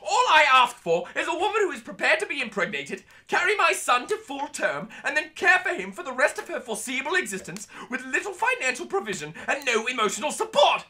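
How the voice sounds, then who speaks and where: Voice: high-pitched, very animated, brisk, hardly any pauses, bright, forceful tone, full character voice. An actor playing a pompous, panicky and callous lord of the manor in a comedy audio drama, with a British accent and florid, theatrical speech. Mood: exasperated, disdainful.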